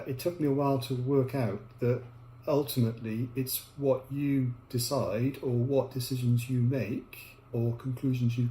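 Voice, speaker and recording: An older man, an architecture lecturer, giving measured, reflective answers in an interview. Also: medium pitch, dark tone. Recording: echoey room with faint hum.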